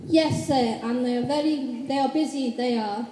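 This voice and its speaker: A woman playing a meek, polite Victorian gentleman in a radio play, hesitant and deferential. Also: high-pitched, normal speed, lightly acted. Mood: earnest, polite. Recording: echoey hall, far off, noisy with clicks.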